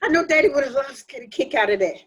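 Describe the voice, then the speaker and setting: An actress playing a sarcastic, irritable daughter in a family dramedy, with a theatrical, exasperated manner. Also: female voice, sits high, animated, very fast. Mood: mocking, exasperated. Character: sarcastic, irritable adult daughter mocking mournful hymns. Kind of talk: dramatic play reading.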